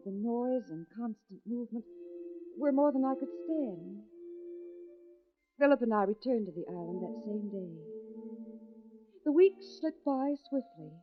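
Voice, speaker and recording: An actress playing an anxious, devoted wife in a 1940s radio suspense drama, speaking in a dramatic, deliberate manner. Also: female voice, fairly high pitch. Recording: vintage radio, phone-like band, music behind.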